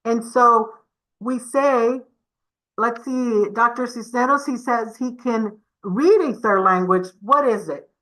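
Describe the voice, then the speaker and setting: A woman's voice: fairly high pitch, slow, fairly bright tone, rough recording. A woman giving a community webinar presentation over a video call, encouraging and addressing the audience with questions.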